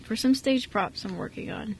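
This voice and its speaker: A woman playing a kind, soft-spoken adoptive father, hesitant and reassuring, in an amateur radio drama. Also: on the high side, normal speed, gravelly, slight background noise, low-quality recording. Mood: modest. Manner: hesitant, reassuring, mild stammer.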